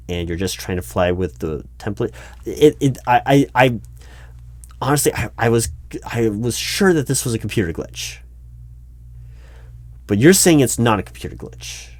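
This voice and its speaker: A man playing a baffled, exasperated recruiting manager in a one-sided ASMR job interview roleplay, speaking aloud with halting, repeated words. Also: fairly low pitch, a little mellow, no echo, natural voice. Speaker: roleplay performer.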